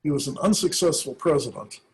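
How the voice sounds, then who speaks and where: A man, a minister, giving an informal talk on church history, thoughtful and halting with many pauses. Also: a little high, animated, vocal fry, husky.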